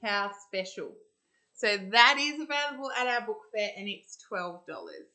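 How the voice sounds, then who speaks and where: An Australian woman reading a storybook to children, expressive and deliberate in the manner of a school storyteller. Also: mid-range voice.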